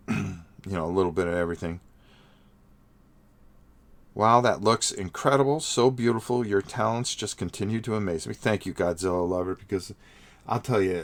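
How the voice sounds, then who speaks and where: A man in middle age hosts a hobby livestream, narrating casually as he paints. His voice sits a little low and sounds sniffly from a cold. He rambles, trails off and repeats words as he answers his chat.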